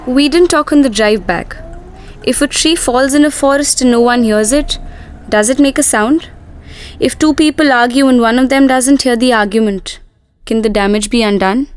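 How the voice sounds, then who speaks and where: A woman's voice: on the high side, moderate pace, clean tone, close to an everyday voice. An actress playing a witty, frank young woman who is hard of hearing, in scripted dialogue and reflective narration, with a wry manner. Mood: wistful, reflective. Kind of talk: scripted dramatic dialogue and first-person narration.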